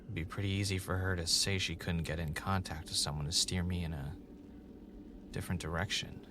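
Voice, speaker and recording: An actor playing an intense, brooding podcast host in an audio drama, defensive and unnerved. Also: male voice, low voice, steady tone, brisk, background noise, lightly acted. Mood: brooding, uneasy. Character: intense, obsessive, unnerved male podcast host, former game-show contestant. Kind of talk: audio drama mixing confessional monologue and conversation.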